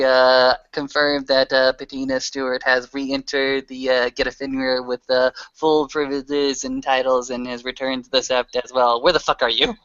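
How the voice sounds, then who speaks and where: A man with a smooth, mid-range voice speaks in character in a roleplaying game. His delivery is formal and measured, recorded dry but slightly dull. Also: mid-range voice, fairly bright tone, no echo. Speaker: tabletop roleplayer.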